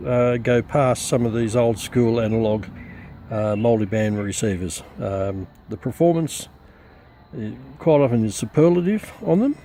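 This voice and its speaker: A man giving a halting, matter-of-fact, unscripted demonstration of gear as a hobbyist. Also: mid-range voice, mellow, slight background noise. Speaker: radio hobbyist presenter.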